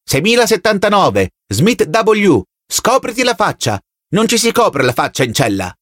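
A man voicing a harsh, commanding guard who shouts orders in a dystopian audiobook. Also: high-pitched, sing-song, unhurried, dry recording, studio quality, pitched above the performer's own voice, exaggerated voice. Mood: stern, commanding. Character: harsh male guard barking orders from a telescreen.

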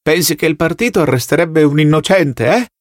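A man's voice: a little high, no echo, clean studio sound, voice pushed up, natural voice. Man voicing a whiny, agitated plump party loyalist. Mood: servile. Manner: whining, rapid-fire questions with tag words.

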